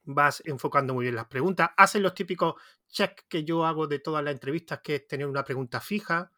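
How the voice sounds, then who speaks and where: A man's voice: fairly high pitch, brisk, pushed and bright, no room sound, studio quality. A man with an Andalusian Spanish accent hosting a podcast interview, chatty and rambling, often restarting his sentences. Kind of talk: casual conversational interview.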